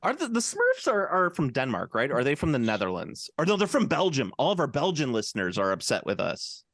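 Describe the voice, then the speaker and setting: Lively, rambling male podcast host. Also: medium pitch, brisk, dry recording, studio quality. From United States. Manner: rambling, jokey, lots of restarts and fillers. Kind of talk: casual chat.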